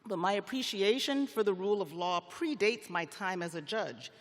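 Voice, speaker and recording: High-voiced man giving a formal speech, arena reverb. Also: expressive, fairly bright tone. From Liberia. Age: middle-aged. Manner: measured, formal, deliberate phrasing. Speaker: commencement speaker, federal magistrate judge. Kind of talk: prepared commencement speech. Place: large indoor arena, on stage at a ceremony. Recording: long reverb of a large arena.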